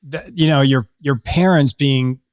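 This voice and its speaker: A man's voice: medium pitch, a little slow, clean tone, no echo. A male podcast host asking long, rambling questions with frequent restarts. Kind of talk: conversational interview.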